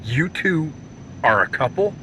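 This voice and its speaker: An actor playing a gruff, sceptical mercenary with a blunt, dry manner in a video game cutscene. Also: male voice, fairly high pitch, animated, measured pace, background noise.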